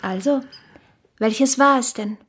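An actress playing a young, blunt and teasing shopkeeper's daughter in a radio drama, plain-spoken and direct. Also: female voice, fairly high pitch, slow, fairly bright tone, husky, full character voice. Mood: teasing, surprised. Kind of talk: literary radio drama dialogue.

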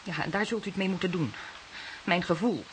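A woman's voice: lowish voice, lightly acted, poor audio. Earnest, anxious prim woman played by an actress. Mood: distressed, insistent. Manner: emphatic, earnest, halting. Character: anxious, stubbornly convinced female aunt, prim and businesslike. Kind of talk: dramatic crime drama acting. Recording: hissy recording, faint noise.

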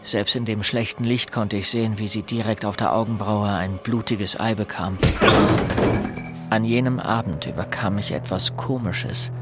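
A man's voice: on the low side, fairly even intonation, moderate pace, close to an everyday voice. A man narrating a grim first-person story of a violent home in a German audio drama, in an observant literary style. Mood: grim, restrained. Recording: music behind the voice.

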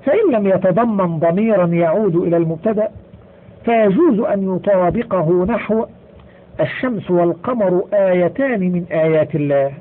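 A man reading a grammar textbook aloud in a measured way, slowing down to stress each example. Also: high voice. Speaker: audiobook reader. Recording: tinny phone-like audio.

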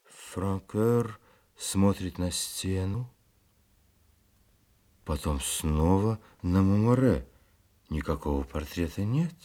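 A man narrating stage directions for a radio play in a calm, unhurried way. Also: low-pitched.

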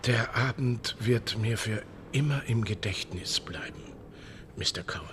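A man's voice: on the low side, measured pace, fairly bright tone, a little hiss or hum. An actor playing a deferential theatre doorman in a German radio drama, servile and clipped. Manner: servile, clipped, says sir a lot.

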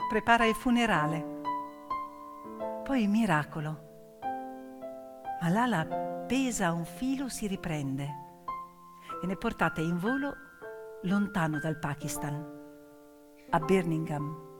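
A woman's voice: on the low side, lively intonation, takes it slowly, long pauses, long reverb tail. A woman performing dramatic theatrical narration as a stage storyteller, with piano accompaniment. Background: piano music.

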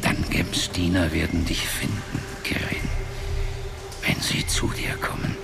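An actor playing an ancient, solemn wizard father in a fantasy radio drama, grave and measured, with a breathy half-whispering voice. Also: sits low, flat delivery, heavily acted. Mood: solemn, urging. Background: background music.

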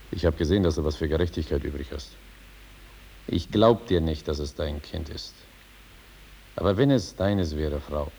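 An actor playing an earthy, sly rogue judge in a 1950s German radio drama, questioning bluntly in colloquial speech. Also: male voice, low-pitched, a little mellow, slight background noise. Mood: quietly probing.

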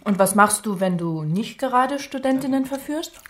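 An actress playing a coaxing, insecure young doctoral student in naturalistic radio drama dialogue, speaking with some hesitation. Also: female voice, fairly high pitch, expressive, normal speed, a little hiss or hum, close to an everyday voice. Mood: teasing.

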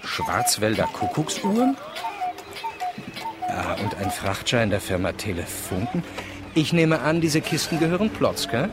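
A man's voice: medium pitch, wildly swooping pitch, unhurried, loud music behind the voice. A man playing a scornful, swaggering adventurer in a radio drama, speaking in a theatrical, mocking manner. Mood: suspicious. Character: scornful, swaggering male adventurer out to expose rivals.

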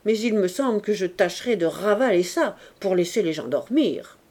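A woman voicing a haughty young maid with a sharp tongue. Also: mid-range voice, expressive, moderate pace. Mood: scolding. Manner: scolding, emphatic.

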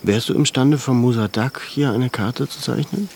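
A man's voice: mid-range voice, normal speed, gravelly, a little hiss or hum, lightly acted. An actor playing a thoughtful, controlled middle-aged Armenian father and reserve officer in a radio drama. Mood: questioning, fatherly. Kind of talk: naturalistic German radio drama acting.

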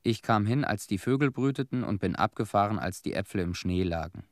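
An actor playing a haunted disaster cleanup veteran in a radio play, telling his story in short, measured, somber phrases. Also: fairly low pitch, expressive, dry recording, clean studio sound. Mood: somber, bitter. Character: haunted Chernobyl cleanup veteran, a man bearing witness.

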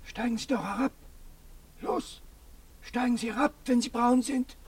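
Shrill, husky, alarmed servant. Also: male voice, steady tone, moderate pace. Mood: alarmed, urgent. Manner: urgent exclaiming. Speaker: actor in a radio drama. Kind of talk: German radio play acting. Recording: distant from the microphone.

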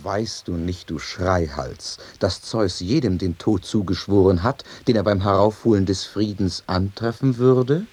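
An actor playing a stern, unbending messenger god with grave, declamatory speech in a radio play. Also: male voice, sits low, unhurried, few pauses, a little mellow, breathy edge. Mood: stern, scornful. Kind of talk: theatrical radio play acting.

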